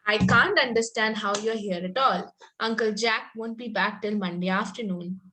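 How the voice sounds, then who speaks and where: A woman's voice: mid-range voice, moderate pace, sharp tone, slight background noise, natural voice. A woman reading an earnest, precocious young Victorian lady in a comedy table read, formal with some stumbles over the script. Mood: prim, earnest.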